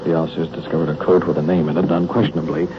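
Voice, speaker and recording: A man's voice: a bass voice, little pitch movement, rapid-fire. A man reporting live as he rides along with police, improvising hesitantly in formal wording, in the style of an old radio documentary. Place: on location in police car and houses. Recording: muffled, very noisy old field recording. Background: loud engine and ambient noise.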